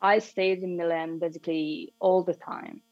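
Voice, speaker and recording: A woman's voice: fairly low pitch, steady tone, moderate pace, no room sound. A young Italian woman, a musician guest, answering interview questions in English with short replies and small laughs. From Italy. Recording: dull, dry, with mains hum.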